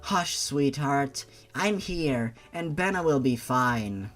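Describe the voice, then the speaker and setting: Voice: medium pitch, animated, takes it slowly, fairly bright tone, faint music behind. Narrator acting as young man, tender, protective, soothing, story dialogue. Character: gentle, protective young man comforting his sweetheart.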